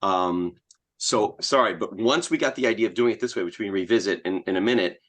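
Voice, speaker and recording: A man's voice: on the low side, brisk, a bit bright. A man, a podcast guest, telling anecdotes casually and a little hesitantly, often repeating his phrases.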